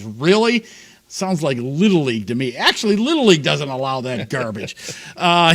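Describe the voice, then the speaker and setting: A man's voice: on the high side, fairly bright tone. A man co-hosting a sports talk show, ranting sarcastically and laughing between points. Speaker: co-host.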